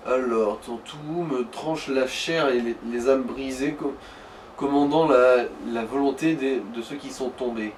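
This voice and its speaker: A man's voice: mid-range voice, a little slow. A man giving casual gaming explanations to a player, piecing them together hesitantly as he goes. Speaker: advisor to the player in a let's play. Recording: distant mic, faint background noise.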